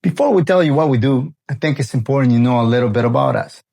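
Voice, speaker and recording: A man's voice: medium pitch, fast, gravelly, warm tone. A man in his forties from El Salvador, a renovation company founder, introducing his channel in an earnest, friendly way.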